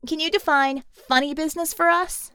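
A woman voicing a cheeky, daring young woman who shouts teasingly in an audiobook. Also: sits high, fairly even intonation, normal speed, no room sound, clean studio sound, pitched above the performer's own voice. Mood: brash teasing.

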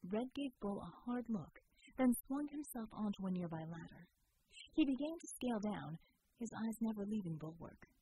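A woman's voice: medium pitch, lively intonation, moderate pace, dark tone, slight background noise, rough recording. A woman reading scripted fiction as an audiobook narrator.

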